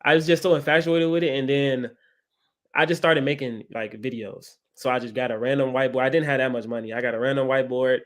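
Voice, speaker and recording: Male, 20s, interview guest. Medium pitch, casual storyteller who keeps checking the listener follows. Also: fairly even intonation, fast talker. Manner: often checks in with "right?". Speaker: guest, credit content creator.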